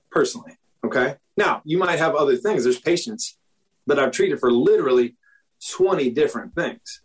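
A man in his forties, a doctor, talking casually in an interview, often checking in with "okay?" and "you know?" and clearing his throat now and then. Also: a little high, rough recording. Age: 40s. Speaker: doctor, guest.

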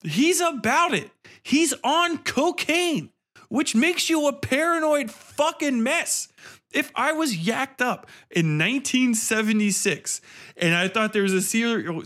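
Guy doing a sweary podcast movie review, kinda high voice, casual, opinionated, incredulous. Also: sharp tone. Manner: profane, incredulous. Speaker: podcast host reviewing a film.

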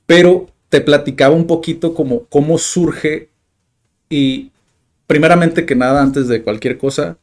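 A man's voice: on the high side, steady tone, unhurried. Chatty man hosting a podcast. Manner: chatty, loose, restarting phrases with fillers. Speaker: podcast host. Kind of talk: casual conversational interview.

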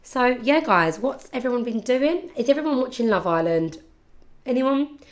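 A young woman with a husky, congested voice from hay fever, chatting casually and rambling to her podcast listeners. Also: on the high side, animated, normal speed. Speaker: podcast host. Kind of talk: casual chat.

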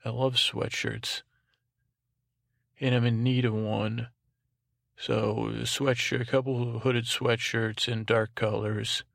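A man's voice: mid-range voice, level tone, slow, gravelly, long pauses, fairly bright tone, dry recording, clean studio sound. A man hosting a bedtime podcast, talking in a droning, meandering monologue with hesitant false starts. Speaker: podcast host.

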